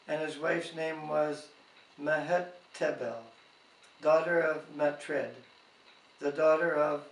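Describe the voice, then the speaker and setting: A man with a slightly high voice reads scripture aloud at a slow, halting pace. He sounds set back from the microphone in a roomy space, stumbling now and then over the words. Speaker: Bible reader. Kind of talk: reading scripture aloud with brief informal comments. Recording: roomy, distant home microphone.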